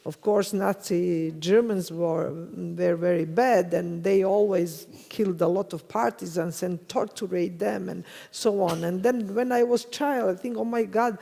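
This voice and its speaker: Slow, hesitant woman with a Serbian accent. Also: medium pitch, creaky, dark tone. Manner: hesitant, searching for words, laughs often. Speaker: panelist. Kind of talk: conference panel discussion. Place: conference hall. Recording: reverberant conference hall.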